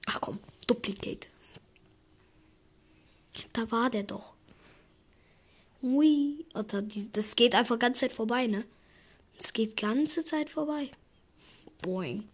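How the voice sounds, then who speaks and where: A hoarse young woman giving casual gaming commentary, excitable and yelping at results, slipping into a raspy near-whisper when she talks quietly. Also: on the high side, slow, pauses often. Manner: excitable, shrieks and groans at spin results. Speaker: gaming YouTuber.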